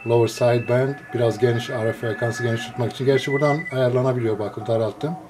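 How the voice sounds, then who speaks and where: A man, a radio hobbyist, explains a receiver in a casual, unscripted walkthrough, pausing between short phrases. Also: mid-range voice, steady tone, background noise. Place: indoors, filming a computer screen.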